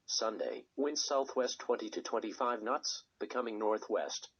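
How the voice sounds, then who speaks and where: A synthetic text-to-speech male voice reading a scripted weather radio forecast in a measured, impersonal way. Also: fairly low pitch, lively intonation, slightly rough. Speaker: automated weather radio announcer. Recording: dull lo-fi weather radio recording.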